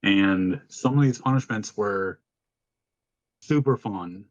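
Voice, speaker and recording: A man with a deep voice co-hosting a podcast, telling stories in an informal, casual way and swearing freely. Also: slow, dry recording, dull audio. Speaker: podcast co-host. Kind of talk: casual chat, storytelling.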